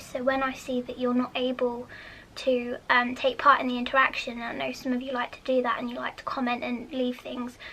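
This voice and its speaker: Soft, high, childlike English woman. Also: slight background noise. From United Kingdom. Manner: chatty, rambling with fillers; often drops to a whisper. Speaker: vlogger. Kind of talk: casual vlog chat.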